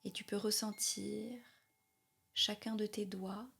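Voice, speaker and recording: Very slow, soft-spoken woman guiding meditation. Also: medium pitch, creaky, husky. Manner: calm, soothing, repetitive phrasing with long pauses. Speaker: yoga nidra guide. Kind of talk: guided meditation, spoken slowly.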